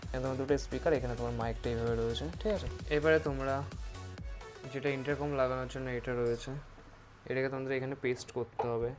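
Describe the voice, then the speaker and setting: A man's voice: medium pitch, steady tone, fast, over music. A man with a West Bengal Bengali accent giving a casual, instructive hands-on review, mixing in English words. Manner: informal, instructive, mixes English words into Bengali, often checks with "okay?". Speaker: motovlogger presenting a product review.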